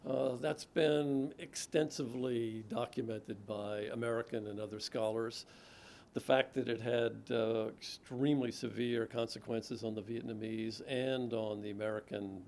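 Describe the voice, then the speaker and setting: A middle-aged man, an author, answering audience questions in a measured, thoughtful way with frequent restarts. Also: steady tone, a little mellow, a little hiss or hum. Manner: measured, thoughtful, with frequent restarts and low murmured "mm-hmm" while listening. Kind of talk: lecture Q&A answers.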